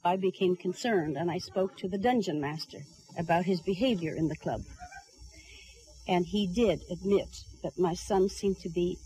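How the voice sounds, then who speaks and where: A woman gives an interview statement as a concerned parent. Her speech is careful and measured, at an ordinary pitch. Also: warm tone, low-quality recording.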